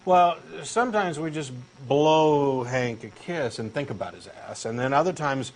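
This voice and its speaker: Eager, pushy man with lively pitch. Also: moderate pace. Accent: Texan. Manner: eager, insistent true believer, earnest and pushy. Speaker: co-host doing a comic dramatic reading. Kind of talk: comic scripted dialogue reading.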